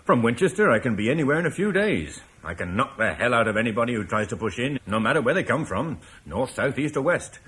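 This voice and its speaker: An actor playing a blunt, forceful military commander from Cornwall who scorns Rome, in a classic radio drama. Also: male voice, mid-range voice, sing-song, quick pace, hardly any pauses, breathy edge. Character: blunt British war leader, scornful of Rome.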